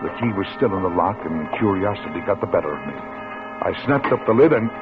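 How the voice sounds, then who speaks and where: A timid, downtrodden bookkeeper narrating in a vintage radio drama. His voice sits low and moves with lively inflection, hesitant and meek. The sound is thin and tinny, with loud music beneath. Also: a little fast. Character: timid, downtrodden middle-aged male bookkeeper. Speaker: narrator and lead. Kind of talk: 1940s radio acting.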